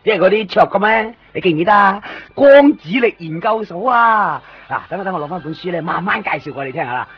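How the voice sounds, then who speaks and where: A man acting an eager, chatty toy shop owner in a radio drama, rambling excitedly in Hong Kong Cantonese. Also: sits high, quick pace, few pauses, dull audio. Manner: excitable, rambling, fond of showing off toys. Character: eager, chatty male second-hand toy shop owner, kind to customers. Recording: band-limited 1990s radio recording with faint music.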